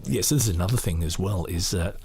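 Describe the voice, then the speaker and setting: Very low-voiced man reading and commenting. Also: fast talker, vocal fry, a little mellow. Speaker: podcast host and book reader. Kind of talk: book reading with informal off-the-cuff commentary.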